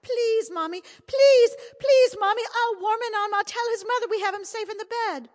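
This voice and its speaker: A woman voicing a small, simple-hearted Irish boy who pleads in a high, loud voice. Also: lively intonation, fast, big-room sound, heavily acted. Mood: pleading.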